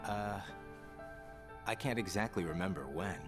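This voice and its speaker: A man's voice: low-pitched, normal speed, over music, close to an everyday voice. A man playing a laid-back, evasive and guarded young man in a video game cutscene.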